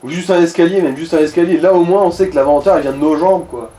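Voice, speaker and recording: A man's voice: on the high side, fast talker, dark tone, slight background noise. A man giving casual, slangy gaming advice in speech, explaining tactics with crude language. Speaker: let's play co-commentator advising the player.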